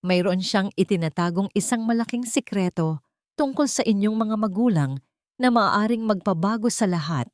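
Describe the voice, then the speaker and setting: A woman plays a grave Filipino male lawyer in an audio drama story. The delivery is formal, cold and measured, in a very dry recording. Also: mid-range voice, expressive, no room sound, lightly acted. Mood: grave, confiding.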